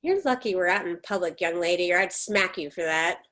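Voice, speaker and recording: A woman's voice: lowish voice, fairly quick, a bit bright, natural voice. Woman playing a firm, stoic American mother of forty-eight. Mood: exasperated, stern. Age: middle-aged. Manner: firm, clipped, insistent. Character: stoic, controlling 48-year-old American mother, curt and short-tempered. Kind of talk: staged play reading.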